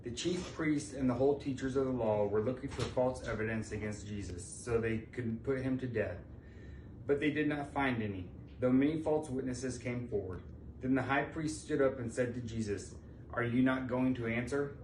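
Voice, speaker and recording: A man's voice: mid-range voice, normal speed, darkish tone. A man giving a solemn scripture reading as a church member at a service, recorded in a church. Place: large indoor church, distant mic. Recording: echoey church from far off, faint noise.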